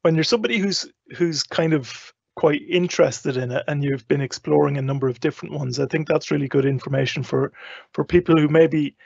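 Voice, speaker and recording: A man hosting a live event in a casual, agreeable, somewhat halting way. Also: on the high side, vocal fry, darkish tone, breathy edge, no room sound, muffled recording. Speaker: host.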